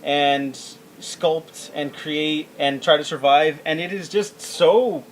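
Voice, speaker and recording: A man co-hosting a podcast in relaxed, casual conversation. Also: fairly high pitch, slow pace, poor audio. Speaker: podcast co-host. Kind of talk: casual chat. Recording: faint room noise.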